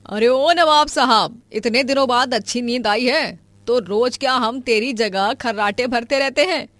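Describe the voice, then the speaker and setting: A woman's voice: a little high, a little slow, a bit bright, dry recording. A woman voicing an exasperated village woman who scolds a lazy man with nagging sarcasm in a cartoon story. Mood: scolding.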